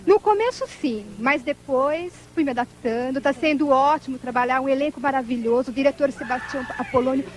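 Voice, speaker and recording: Woman, actress, clip, high pitch, upbeat short remarks. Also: a little fast, few pauses, low-quality recording.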